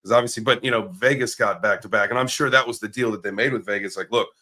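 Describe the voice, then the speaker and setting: A man hosting a casual crypto livestream. His voice is raspy and hoarse, and he talks in upbeat hype with lots of filler words. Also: on the low side, fast talker, sharp tone. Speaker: livestream host.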